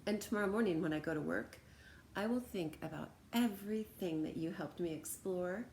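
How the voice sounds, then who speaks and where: A woman's voice: on the low side, moderate pace, gravelly, a little mellow, slight background noise. An American woman teacher talking informally and encouragingly to students as she reads aloud and demonstrates things. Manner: encouraging, conversational.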